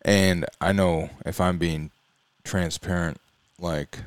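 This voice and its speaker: A man co-hosting a podcast, joking at times and frank, speaking haltingly with restarts. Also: low voice, steady tone, clean tone, no room sound. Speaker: podcast co-host. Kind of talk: casual chat.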